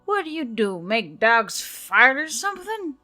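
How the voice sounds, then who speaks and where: A woman's voice: on the high side, very animated, a little slow, bright, forceful tone, pitched above the performer's own voice, heavily acted. A woman voicing a drunk, sarcastic, foul-mouthed female soldier in an audiobook, slurred and brash, with music behind her. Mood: drunken sarcasm. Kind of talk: audiobook character acting.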